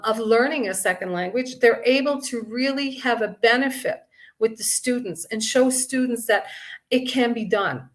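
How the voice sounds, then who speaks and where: A middle-aged woman from Canada giving an earnest conference speech, with many ums and pauses between phrases. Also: on the high side, a bit bright. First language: English. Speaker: guest speaker.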